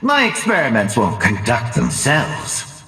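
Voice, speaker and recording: A man's voice: mid-range voice, wildly swooping pitch, sharp tone, full character voice. An actor playing a cruel, condescending older mad scientist in a video game, mocking and theatrical, drawing out his words with gloating relish. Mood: scornful. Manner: mocking, drawn-out, theatrical delivery with gloating cackles. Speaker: villain. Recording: echoey, reverberant hall sound.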